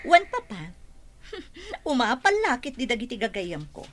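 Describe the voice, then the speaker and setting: A woman's voice: a little high, big pitch swings, a little slow. A woman acting a haughty, unforgiving, well-off young woman in a radio drama. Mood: smug, disdainful.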